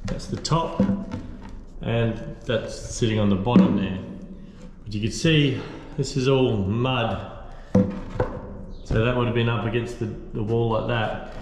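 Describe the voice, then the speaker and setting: A man in his thirties casually explaining his boat restoration work, rambling with fillers, filmed on a steel boat outdoors on an action camera. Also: fairly low pitch. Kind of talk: casual show-and-tell narration. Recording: echoey with long reverb, faint noise.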